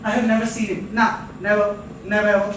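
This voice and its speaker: A stage actor playing a lonely, restless rooming-house drifter, rambling theatrically and dwelling on words in halting repetitions. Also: medium pitch, flat delivery, a little hiss or hum, lightly acted. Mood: rambling, excitable. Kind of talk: live stage play monologue. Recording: echoey theatre captured from far off, faint music.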